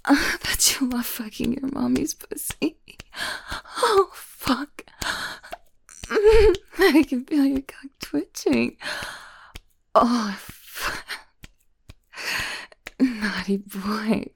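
A woman performing an intimate audio roleplay, whispering and moaning in a teasing, breathy manner. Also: sits high, lively intonation, very slow, pauses often. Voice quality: breathy, husky.

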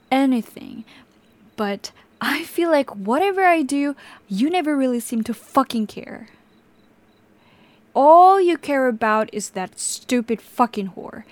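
A woman's voice: on the high side, no echo. A woman playing a volatile, possessive young captor. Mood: resentful. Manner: drifts between soft whispers and sudden snapping. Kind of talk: audio roleplay acting.

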